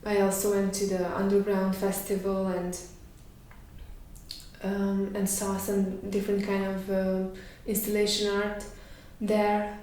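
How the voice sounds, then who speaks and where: A woman speaks in a flat, even tone, thinking aloud and filling her pauses with soft fillers. Her voice rings through a very echoey room, heard from some distance off the mic. Faint noise hangs underneath. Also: mid-range voice. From Romania. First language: Hungarian. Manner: hesitant, thoughtful, pauses with many fillers. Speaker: artist in residence. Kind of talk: reflective interview answers.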